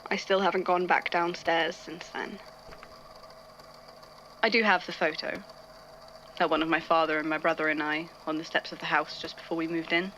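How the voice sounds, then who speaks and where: A woman's voice: sits low, level tone, normal speed, long pauses, a bit bright, slight background noise, low-quality recording. A woman playing an irritable, bookish male archivist in an audio drama, speaking hesitantly into a recorder and thinking aloud. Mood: subdued, shaken. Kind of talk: audio drama narration, recorded case notes.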